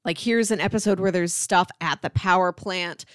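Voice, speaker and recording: A woman's voice: on the low side, normal speed, no echo, clean studio sound. A woman co-hosting a show, talking in a casual, conversational way. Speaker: podcast co-host. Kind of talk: casual chat about TV sitcoms.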